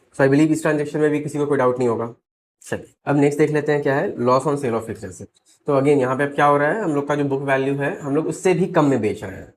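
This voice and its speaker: Slightly hoarse Indian man teaching. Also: mid-range voice, slight background noise. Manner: step-by-step, checks in with rhetorical questions. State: slightly hoarse, sore throat. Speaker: accountancy teacher. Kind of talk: explanatory lesson mixing Hindi and English.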